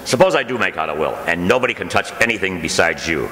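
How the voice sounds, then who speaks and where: An actor playing a grumbling, sardonic, exasperated henpecked husband in an old-time radio comedy. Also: male voice, low-pitched, lively intonation, fast talker, pushed and bright. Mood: indignant, ranting. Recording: echoey hall, clear background noise.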